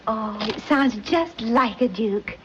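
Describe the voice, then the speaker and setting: A woman's voice: a little high, lively intonation, slow pace, background noise. A woman playing a fluttery, gushing wealthy society mother in an old film. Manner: fluttery, gushing, breathy little laughs. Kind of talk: 1940s film acting. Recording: dull old film soundtrack.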